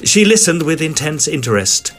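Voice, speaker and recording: A man playing an excitable Edwardian gentleman ghost hunter, telling his story in formal, theatrical audio drama style. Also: on the high side, moderate pace, soft background music. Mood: earnest. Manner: formal, old-fashioned, dramatic phrasing. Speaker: narrator and lead character.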